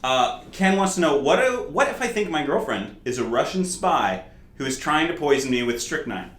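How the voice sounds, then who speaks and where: A Canadian man co-hosting a dating advice live stream, upbeat and quick to laugh in casual banter. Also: fairly high pitch, very animated, a bit bright. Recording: roomy echo.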